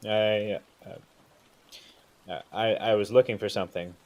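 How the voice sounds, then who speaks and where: A man's voice: lowish voice, steady tone, unhurried, a little mellow, close to an everyday voice. A man playing a brash, evasive Hollywood actor brother in an audio drama. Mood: hesitant, awkward.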